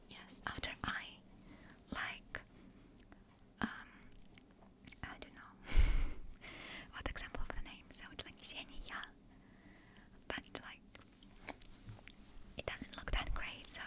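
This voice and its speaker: A woman in her twenties, a native Russian speaker, whispering in a soft, meandering ASMR ramble close to a desktop condenser mic. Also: fairly high pitch. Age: 20s. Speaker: ASMR creator. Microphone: desktop USB condenser mic.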